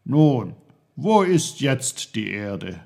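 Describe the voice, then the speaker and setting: Man as a husky, slow, kindly little magical uncle. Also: medium pitch, big pitch swings, darkish tone, exaggerated voice. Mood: instructing. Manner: emphatic, drawn-out, avuncular instructing. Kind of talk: German children's storybook character voice.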